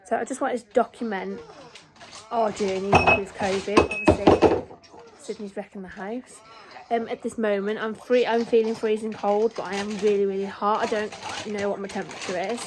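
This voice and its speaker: A woman vlogging a home video diary while ill, her voice croaky and tired, talking plainly with many pauses and ums. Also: mid-range voice, poor audio. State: croaky voice from illness. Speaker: family vlogger. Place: family home.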